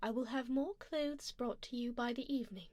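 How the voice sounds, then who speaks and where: A woman playing a refined, doting vampire duchess in an ASMR roleplay, soft-spoken and slipping into whispers, with a genteel, gently commanding manner. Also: a little high, normal speed, dry recording, lightly acted. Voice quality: soft, breathy whispered passages. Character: refined, doting, possessive female vampire duchess, playfully condescending. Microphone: close binaural microphone, dry.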